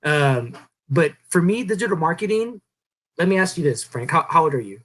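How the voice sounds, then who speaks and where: A man in his thirties co-hosting a podcast, explaining things in a relaxed, rambling way with many fillers. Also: fairly high pitch, normal speed. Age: 30s. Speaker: podcast co-host. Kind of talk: casual podcast chat.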